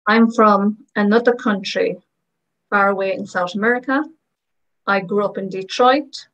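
A woman speaking as a voice-over interpreter, giving first-person testimonies of signers in short, measured phrases. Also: mid-range voice, a bit bright, muffled recording. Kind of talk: voicing first-person testimonies in plain narration.

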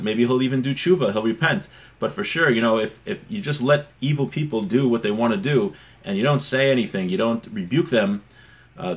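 A middle-aged man giving an informal religious lesson, explaining with examples in a conversational, somewhat halting way. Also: mid-range voice, fairly bright tone. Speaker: religious teacher. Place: small home room, indoors. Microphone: webcam or laptop mic at desk distance.

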